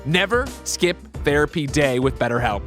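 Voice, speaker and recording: Lively man reading a sponsor ad over music. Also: on the high side, moderate pace. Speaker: host reading sponsor ads. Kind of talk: scripted ad read.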